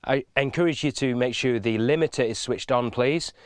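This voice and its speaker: A man's voice: medium pitch, normal speed, no echo. Man giving methodical tutorial, dry close sound. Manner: methodical, instructive. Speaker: instructor. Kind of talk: informal step-by-step video tutorial.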